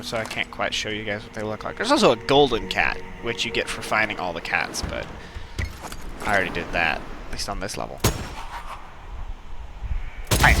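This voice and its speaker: Animated man doing casual game commentary. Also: mid-range voice. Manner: self-deprecating, wry asides. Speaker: gaming video host. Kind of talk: casual let's play commentary. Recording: clear game noise behind voice. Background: game gunfire and ambience.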